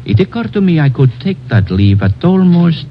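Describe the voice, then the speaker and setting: An actor playing a weary, courteous, crafty Latin police lieutenant with a Spanish accent, speaking formally and deliberately in old-time radio drama style. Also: male voice, on the high side, very animated. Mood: sly, hinting. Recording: muffled old radio recording, faint music.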